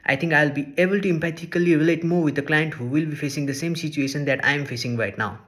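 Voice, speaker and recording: A man's voice: on the high side, a bit bright. Earnest young man, English speaker. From Nepal. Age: young adult. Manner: measured and earnest, listing points one by one. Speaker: social work student. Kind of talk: reading a prepared class presentation.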